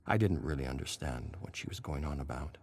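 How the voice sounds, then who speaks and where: A man plays a droll survivor and would-be filmmaker with a very low voice, reading his game journal drily. The delivery is measured, with faint ambient noise behind it. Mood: uneasy, hopeful.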